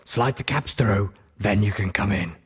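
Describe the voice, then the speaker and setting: A man's voice: fairly low pitch, a little slow, a bit bright, husky, exaggerated voice. A sceptical gatekeeper pony, played by a male narrator, curt and grudging. He speaks as a hard-to-impress guard in a storybook tale. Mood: curt, demanding.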